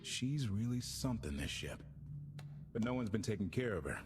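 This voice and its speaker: Passionate, sardonic starship tinkerer for a game male actor, mid-pitched and highly animated, music underneath. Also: moderate pace, big-room sound, lightly acted. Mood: distracted, grumbling. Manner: sardonic, expressive. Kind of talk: video game cutscene acting.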